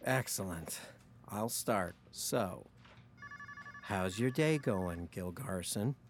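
Comedic audio drama: cynical, melodramatic office best friend, foul-mouthed and needling, very animated intonation. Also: male voice, deep voice, slow pace, heavily acted. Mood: sardonic, needling. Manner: sardonic, melodramatic, profane.

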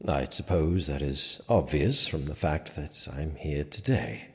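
An actor playing a smug, teasing medieval sheriff in an audio drama, with wry, formal and sometimes halting speech. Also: male voice, extremely low pitch, lively intonation, exaggerated voice. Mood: sheepish, awkward. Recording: long reverberant hall.

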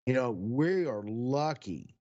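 A man's voice: medium pitch, expressive, slow pace, a little mellow, highs cut off. A man in his sixties chatting casually on a podcast, rambling through stories. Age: 60s. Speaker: podcast co-host.

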